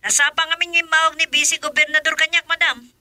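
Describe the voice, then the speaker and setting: Deferential aide, shrill, extremely high, very bright, eager, radio drama. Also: female voice, little pitch movement, a little fast, no echo, clean studio sound. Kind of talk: Ilocano radio drama acting.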